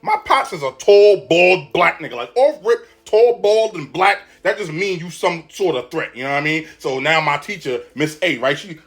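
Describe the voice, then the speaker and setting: A man telling a story in casual, slangy, animated style, often checking in with the listener. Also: on the high side, normal speed, hardly any pauses.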